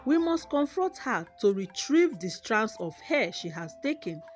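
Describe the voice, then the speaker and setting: A woman's voice: a little high, very animated, measured pace. A woman voicing a grave, stern male village elder in a folktale, solemn and commanding, over music. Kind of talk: folktale character voice.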